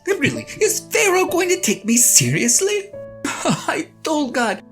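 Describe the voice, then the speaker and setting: Reluctant, aging prophet and husband, played by a woman: higher-pitched, halting, pleading, conversational audio drama monologue over clear music. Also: big pitch swings, heavily acted. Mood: exasperated, sheepish. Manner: halting, stammering, pleading, sighing. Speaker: actor in a one-person audio drama. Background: guitar and piano music.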